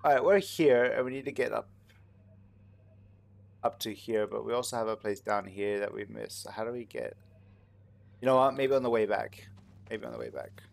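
A man's voice: fairly low pitch, lively intonation, moderate pace, long pauses. A man giving casual gameplay commentary, rambling and thinking aloud as he hosts. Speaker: gaming video host. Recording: clear background noise, game sound. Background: video game ambience.

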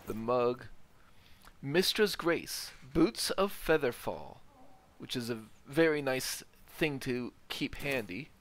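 A man giving a casual, explanatory walkthrough of a video game as he plays, in an unhurried way. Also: medium pitch, lively intonation, fairly bright tone. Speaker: let's player. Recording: game ambience noise behind voice. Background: video game ambience.

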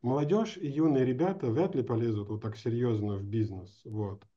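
A middle-aged man hosting a casual interview over a video call, talking informally in halting, hesitant phrases. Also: mid-range voice, dark tone. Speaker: host, interviewer. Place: indoor room, video call. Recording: slightly dull video-call audio.

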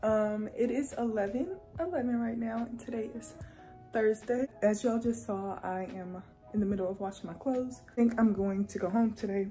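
A woman's voice: on the high side, moderate pace, soft background music. A young woman with a Southern US accent, chatting casually to her phone camera in a small apartment bedroom for her vlog. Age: young adult. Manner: chatty, relaxed, slangy. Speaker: vlogger, college student. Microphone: phone camera at arm's length.

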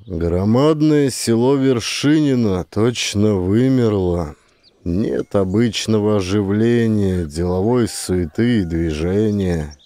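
A man's voice: on the low side, dark tone, dry recording. A man narrating a literary audiobook as an actor reading from a script.

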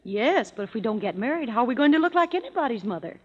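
An actress playing a soft-spoken, wry young woman in a 1930s radio drama, on a dull lo-fi old recording. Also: a little high, big pitch swings, fast, slightly rough. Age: young adult. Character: wry, resigned older sister. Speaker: sister Emma.

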